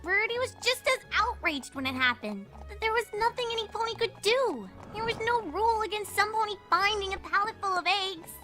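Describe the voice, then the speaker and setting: Woman as plucky little storybook filly, earnest and animated, bright piping voice over faint music. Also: extremely high pitch, brisk, few pauses, heavily acted. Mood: gossipy. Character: young cartoon fillies, plucky and matter-of-fact. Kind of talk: fan fiction audio reading, cartoon voice.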